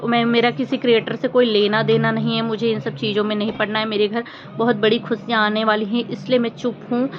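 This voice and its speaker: A woman giving informal opinion commentary to her viewers, speaking in an earnest, reproachful, emphatic manner with rhetorical questions. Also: a little high. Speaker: vlogger commenting on social media controversy. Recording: muffled lo-fi audio with faint noise.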